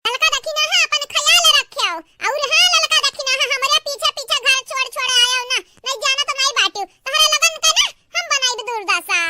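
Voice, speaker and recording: An aggrieved village sister-in-law from a comedy cartoon, scolding and wailing theatrically. The voice is extremely high and piercingly bright, as if artificially pitched up, with a Bhojpuri-Awadhi accent from North India. Faint noise sits behind it. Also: a little fast, heavily acted. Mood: angry, threatening. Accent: Bhojpuri-Awadhi belt of North India. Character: melodramatic aggrieved village sister-in-law threatening to leave home. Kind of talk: exaggerated family comedy cartoon dialogue in rural dialect.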